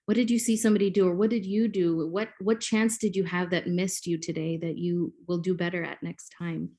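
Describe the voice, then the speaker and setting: A woman's voice: lowish voice, level tone, a little fast, mellow. A woman in her forties from Kenya, a native Swahili speaker, talking as a podcast guest and explaining things with many ums like a classroom teacher. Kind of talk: podcast interview.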